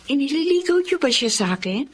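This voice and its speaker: A woman's voice: high-pitched, animated, brisk, slight background noise. A woman with a Filipino accent playing a guarded, insecure woman afraid of love in a radio drama, hesitant and plaintive. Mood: wary.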